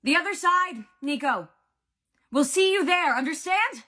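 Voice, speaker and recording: A woman's voice: mid-range voice, normal speed, fairly bright tone, husky, pitched above the performer's own voice. A woman voicing a brave, devoted teenage boy hero in a storybook, urgent and commanding. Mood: urgent shouting.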